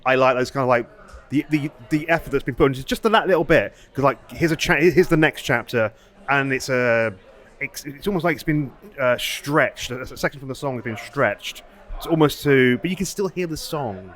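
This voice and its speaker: A man's voice: mid-range voice, normal speed, fairly bright tone. Rambling male podcast host. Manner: rambling, restarts, asks long questions. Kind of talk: casual interview chat.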